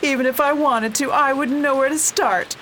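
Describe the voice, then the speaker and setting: Brash, streetwise woman in trouble, played by an actress, slangy, highish voice, light noise behind. Period radio drama. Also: normal speed, fairly bright tone. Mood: sceptical. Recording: faint rain-like background noise.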